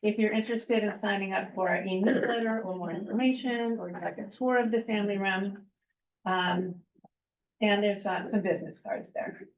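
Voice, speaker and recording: A woman from Vermont giving a prepared talk to a club audience, earnest and steady, with pauses and fillers. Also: medium pitch. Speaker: guest speaker. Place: meeting room. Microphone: handheld microphone, some distance. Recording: echoey room, dull lo-fi sound.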